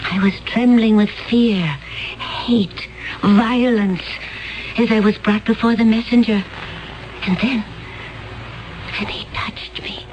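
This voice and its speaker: An actress playing a cold, vicious assassin from another planet in a 1970s radio drama, intense and gloating, at times dropping to a hushed whisper. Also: female voice, a little high, fairly even intonation, slow pace, long pauses, highs cut off. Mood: haunted.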